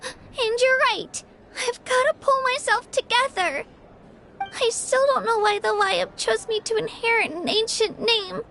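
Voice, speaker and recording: A woman's voice: very high-pitched, unhurried, creaky, sharp tone, full character voice. A woman voicing an earnest, emotional young girl adventurer, determined but easily moved, in a video game. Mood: tearful resolve. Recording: music behind the voice. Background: music.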